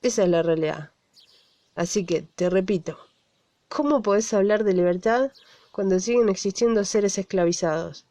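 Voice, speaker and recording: A woman's voice: medium pitch, normal speed, mellow, no room sound, natural voice. A woman with an Argentine accent voicing an indignant activist in a conversational monologue, blunt and full of rhetorical questions. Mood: indignant, argumentative. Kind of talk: conversational radio theatre monologue.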